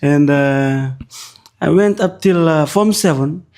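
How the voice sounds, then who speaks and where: A Fijian man in his twenties with a Fijian accent, a podcast guest telling his own story with many hesitant pauses. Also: fairly high pitch, a little mellow. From Fiji. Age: 20s. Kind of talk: personal story in a podcast interview.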